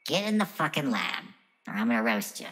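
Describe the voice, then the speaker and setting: A man voicing a mean, weary little dragon in a tabletop game, with a husky, breathy voice. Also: medium pitch, big pitch swings, fairly quick, sharp tone. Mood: menacing.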